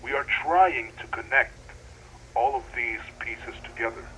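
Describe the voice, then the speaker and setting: A man playing an earnest, wonderstruck deep-sea researcher speaking slightly formally into a recorded log for an analog horror drama. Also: measured pace, sharp tone, slight background noise, poor audio. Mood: pensive, puzzled. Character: excitable male deep-sea researcher keeping an audio log.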